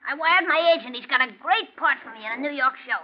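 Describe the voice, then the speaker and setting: An actor playing a small, frightened man with a high, childlike voice, stammering and pleading in a 1940s radio crime drama. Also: lively intonation, fast. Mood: nervous. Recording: muffled, faintly noisy 1940s radio recording.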